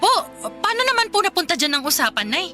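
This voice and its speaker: A woman's voice: very high-pitched, sing-song, a little fast, pushed and bright, soft background music, full character voice. A woman playing a weary, respectful but aggrieved working daughter in a Tagalog radio drama, politely pleading and protesting.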